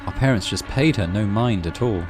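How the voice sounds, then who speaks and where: A man's voice: fairly low pitch, normal speed. A man narrating a visual novel aloud as a gaming channel host, in a dramatic storytelling style. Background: music.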